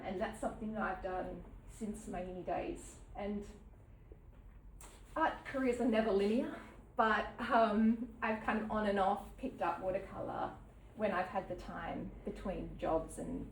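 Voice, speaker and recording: Australian woman, thirties, halting artist talk full of ums, roomy echo, heard from a distance. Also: medium pitch. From New South Wales, Australia. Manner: halting, with many ums and soft laughs. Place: gallery event space with an audience. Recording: roomy, from some distance, faint background noise.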